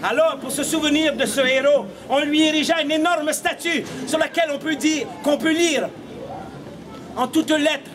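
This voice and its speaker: A man's voice: very high voice, normal speed. A man in his forties with a Québécois accent, telling comic stories theatrically to a street audience. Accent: Québécois French. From Quebec, Canada. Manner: theatrical, projecting to a crowd. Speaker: street puppeteer and comedian. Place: outdoors in a town street. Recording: noisy open street, loud crowd.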